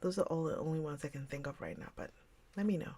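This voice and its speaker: A woman hosting a livestream, chatting casually with viewers in a rambling way and often dropping into a soft whisper. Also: low-pitched, quick pace, breathy edge. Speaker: livestream host reading books. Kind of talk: casual chat with live viewers.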